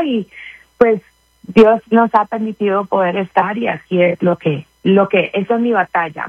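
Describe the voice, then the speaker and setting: A woman with a Costa Rican accent talks through a narrow, tinny phone line. Her voice is a little husky and sits at a middle pitch, and the sound is dry and close. She speaks gratefully, running on with small restarts. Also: no room sound. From Costa Rica. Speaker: guest, community wellness manager. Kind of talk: radio interview by phone.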